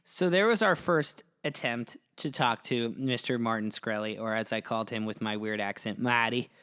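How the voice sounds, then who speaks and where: A man's voice: medium pitch, moderate pace, a bit bright, clean tone. Man casually telling a story. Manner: rambling, self-amused storytelling. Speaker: podcast host.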